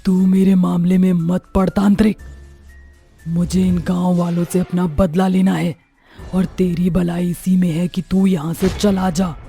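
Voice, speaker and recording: A woman's voice: on the low side, little pitch movement, measured pace, creaky, mellow, husky, soft background music, exaggerated voice. A woman voicing a vengeful, sorrowful witch ghost in a horror story, with a dramatic, threatening delivery. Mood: vengeful anger. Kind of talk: Hindi horror story voice acting.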